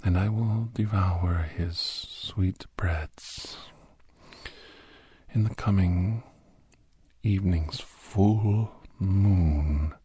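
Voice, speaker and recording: Man playing a slow, whispering, menacing cultured villain. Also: low-pitched, mellow, no room sound, exaggerated voice. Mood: threatening. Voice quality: breathy whisper. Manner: slow, deliberate, halting, savoring phrases. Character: menacing, cultured captor, hungry island-dwelling werewolf villain. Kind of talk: ASMR villain monologue.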